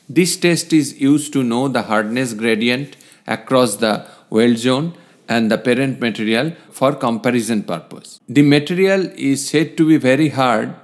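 A man narrating a scripted technical lesson in a didactic, explanatory way, as an instructor reading out his points. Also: medium pitch. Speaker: instructor in welding inspection. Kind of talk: scripted lecture narration over slides.

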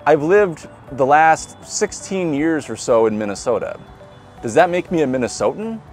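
A man's voice: a little high, animated, normal speed, darkish tone, faint music behind. A man in his forties with a Midwestern American accent, a pastor giving an online sermon to camera in a conversational way. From Nebraska. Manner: conversational, chuckles, repeats words when restarting. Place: outdoors beside a brick building. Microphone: camera at close range.

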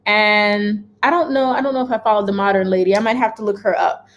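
A woman's voice: medium pitch, steady tone, fast. A woman in her thirties giving her views to camera from her home kitchen, direct and emphatic, often checking in with "okay?". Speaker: lifestyle vlogger. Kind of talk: casual opinion chat to camera.

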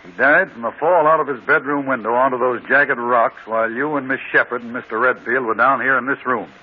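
An actor playing a brisk, blunt police inspector in an old radio mystery, speaking plainly and colloquially. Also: male voice, medium pitch, steady tone, phone-quality sound, natural voice. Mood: matter-of-fact. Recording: noisy, thin old radio broadcast.

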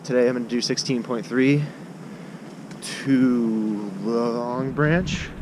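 A man in his twenties talking casually to his camera as he hikes, halting and laid-back. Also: mid-range voice, fairly even intonation. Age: 20s. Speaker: vlogger hiking a long trail. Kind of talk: casual hiking vlog. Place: outdoors on a wooded mountain trail. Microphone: action camera held at arm's length. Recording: windy, noisy outdoor action-camera audio. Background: wind noise.

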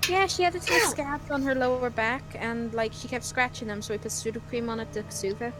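A woman's voice: fairly high pitch, brisk, low-quality recording. A woman chatting casually in a group while playing a video game. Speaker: voice actor in a group game playthrough. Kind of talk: casual group banter over gameplay. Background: video game music.

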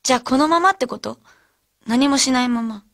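A woman's voice: sits high, brisk, fairly bright tone, dry recording, studio quality. A woman playing an urgent, loyal teenage schoolgirl in a radio drama.

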